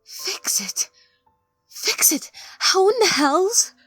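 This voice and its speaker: A woman playing an ancient female planeswalker, a reproachful former pupil, in a dramatic audio drama with deliberate intensity. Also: very high-pitched, big pitch swings, a bit bright, soft background music, heavily acted. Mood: outraged.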